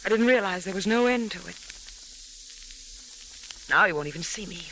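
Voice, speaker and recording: Woman acting a brittle, bright-voiced young wife. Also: mid-range voice, expressive, moderate pace, natural voice. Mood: bitter. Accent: American. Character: bitter, wounded young American wife. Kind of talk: 1940s radio melodrama acting. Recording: faintly noisy old radio recording.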